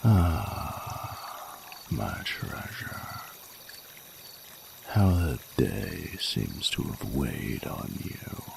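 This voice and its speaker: A man's voice: a bass voice, sing-song, vocal fry, background noise, heavily acted. A man voicing a flirty, courtly male dragon in a romantic audio roleplay, speaking formally and soothingly in intimate ASMR style. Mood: tender, soothing. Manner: formal, flowery, unhurried, soothing speech. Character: ancient-sounding flirty male dragon, tender, courtly lover.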